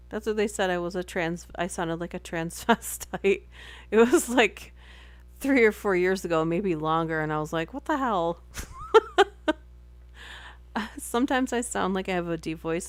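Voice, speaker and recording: A young woman with a slightly low voice reads articles on a live stream. She drifts into rambling, giggly tangents between passages. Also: no echo. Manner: chatty, giggly, rambling asides. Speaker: streamer reading articles aloud. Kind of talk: casual live-stream reading and chat.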